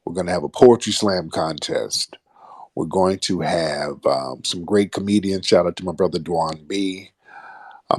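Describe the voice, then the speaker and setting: A man's voice: sits low, no echo, studio quality. An American man hosting an online radio show, delivering a blunt, combative monologue in colloquial street slang with swearing and emphatic phrasing.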